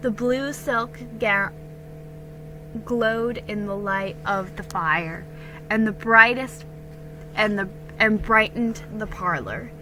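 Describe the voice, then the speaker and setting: A woman's voice: mid-range voice, takes it slowly, pushed and bright, faint music behind, poor audio. A woman giving a dramatic storybook narration, now and then stumbling and repeating words. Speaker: amateur story reader.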